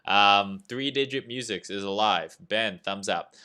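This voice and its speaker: A man's voice: lowish voice, unhurried, sharp tone, clean tone. A young Canadian man with a Canadian accent hosting a casual livestream, talking loosely through trading setups and replying to chat with lots of "uh" and "right?". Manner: loose and chatty, reads chat aloud, frequent "uh" and "right?". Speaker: livestream host, trading analyst. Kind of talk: casual livestream market commentary.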